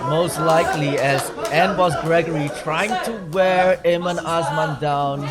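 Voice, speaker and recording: A man giving live play-by-play wrestling commentary as a commentator, measured and building his phrases for emphasis. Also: on the high side, fairly even intonation. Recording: noisy arena recording with loud crowd. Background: loud wrestling crowd.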